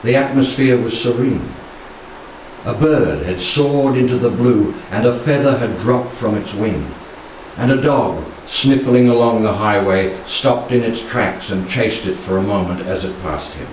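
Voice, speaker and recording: A man in his sixties reciting poetry solemnly, with measured, dramatic phrasing and pauses, into a handheld microphone in a room. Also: on the low side, a little mellow. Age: 60s. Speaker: poetry reader. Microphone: handheld stage microphone. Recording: very echoey room, distant, faint noise.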